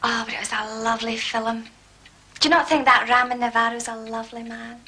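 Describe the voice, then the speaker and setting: An actress playing a chatty, dreamy, romantic young housemaid with a Scottish accent in a period mystery drama. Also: female voice, a little high, steady tone, brisk, noisy background, close to an everyday voice. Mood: dreamy, gushing. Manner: gushing, chatty. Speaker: actor.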